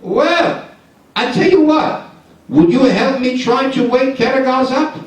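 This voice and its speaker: A man's voice: high voice, sing-song, takes it slowly, noisy background, exaggerated voice. A man voicing a chatty, ingratiating male know-it-all in a live puppet show, coaxing the audience to join in. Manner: eager, coaxing, leads the audience in call-and-response. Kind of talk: live shadow puppet theatre. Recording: echoey hall, distant mic.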